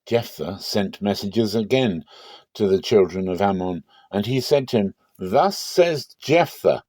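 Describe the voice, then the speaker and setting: A man's voice: fairly low pitch, moderate pace, darkish tone, no echo. A man giving a dramatic scripted narration of scripture, as a reader of an audiobook would. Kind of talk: dramatic scripted Bible reading.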